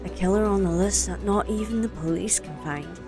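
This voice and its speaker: A woman's voice: medium pitch, brisk, music in the background, close to an everyday voice. A young woman playing a skeptical but easygoing college student in an amateur audio drama, speaking conversationally with a sardonic edge.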